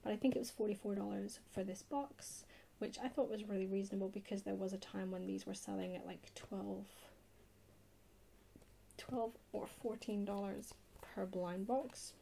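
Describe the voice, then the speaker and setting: Casting: female ASMR haul host, soft-spoken and half-whispering, mellow and creaky, casual delivery. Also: medium pitch, fairly even intonation, normal speed, slight background noise. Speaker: video host. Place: indoors at a table.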